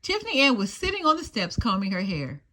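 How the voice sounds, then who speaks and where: Animated, high-voiced woman reading a storybook. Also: quick pace. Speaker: children's storybook reader. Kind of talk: expressive read-aloud narration.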